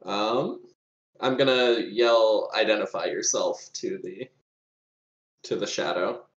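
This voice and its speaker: A man's voice: lowish voice, slow. A man playing a tabletop game, chatting casually with wry, crude humour. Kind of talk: casual joking chat. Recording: muffled mic in echoey room.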